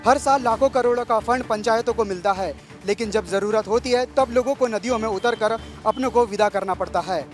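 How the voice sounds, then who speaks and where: Male reporter, extremely high voice, scripted news narration over faint music. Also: brisk, hardly any pauses.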